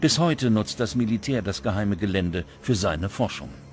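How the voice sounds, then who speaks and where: A man reading scripted documentary narration in a measured, dramatic manner. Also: fairly low pitch, lively intonation. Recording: music under the voice. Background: background music.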